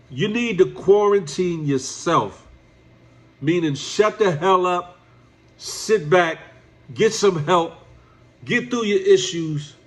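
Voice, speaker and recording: Nasal, congested man lecturing slowly. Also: a little high, a bit bright. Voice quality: nasal, stuffed up. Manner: drawn-out, preachy, addresses the subject as "my brother". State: congested from allergies. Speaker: YouTube commentator. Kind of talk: direct-address commentary vlog.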